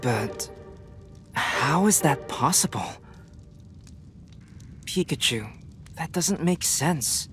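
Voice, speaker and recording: An American man playing an earnest, inquisitive teenage boy detective in a video game, talking in a measured, questioning way. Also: fairly high pitch, animated, fairly bright tone, faint music behind, full character voice. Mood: doubtful.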